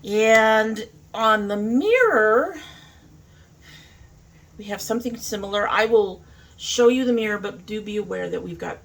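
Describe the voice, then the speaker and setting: A woman's voice: medium pitch, expressive, unhurried, pauses often. A woman talking informally to camera as a beauty vlogger, thinking aloud in a casual makeup review.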